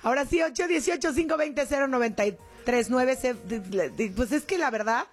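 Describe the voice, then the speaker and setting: A woman's voice: sits high, expressive, a little fast, soft background music. A woman, co-hosting a casual radio chat in a chatty, joking way. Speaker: radio co-host.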